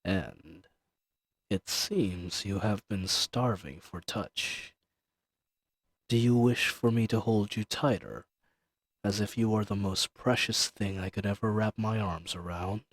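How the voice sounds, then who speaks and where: A man's voice: fairly low pitch, slow, no echo. A man voicing an ancient dragon familiar in an intimate audio roleplay, speaking formally, possessive and seductive, condescending yet soothing. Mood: teasing, seductive. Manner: formal, archaic diction, soothing and teasing. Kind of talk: intimate scripted roleplay audio addressed to the listener.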